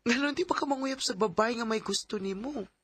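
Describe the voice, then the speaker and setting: A man's voice: extremely high pitch, fairly quick, bright, forceful tone, slightly rough, exaggerated voice. A man playing a tender, earnest young woman in love in a sentimental radio drama, with a Bisaya Filipino accent. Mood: concerned, pleading. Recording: music under the voice.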